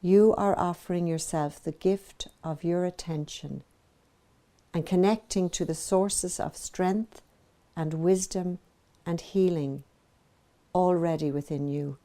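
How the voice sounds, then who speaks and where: Woman guiding meditation in a dark, mellow voice. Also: lowish voice, steady tone. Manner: gentle, measured, soothing, with pauses between phrases. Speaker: meditation teacher. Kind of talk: guided meditation narration.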